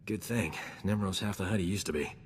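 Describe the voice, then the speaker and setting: A man's voice: sits low, a little fast, lightly acted. A man plays a boastful, charming rogue of a doctor for a game cutscene. He talks in a cocky, self-admiring voice, with long reverb and some noise around it. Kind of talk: video game cutscene acting.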